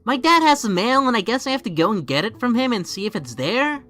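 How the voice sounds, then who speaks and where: A woman's voice: on the high side, big pitch swings, fairly quick, a bit bright, faint music behind. A woman playing an earnest, easily frustrated young teenage boy in a webcomic fan dub, hesitant and informal.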